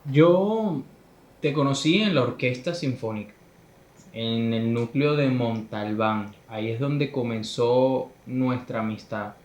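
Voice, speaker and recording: Slow-speaking male interviewer, roomy sound. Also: medium pitch, darkish tone. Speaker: interviewer host. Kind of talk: friendly interview questions. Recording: roomy echo with faint music.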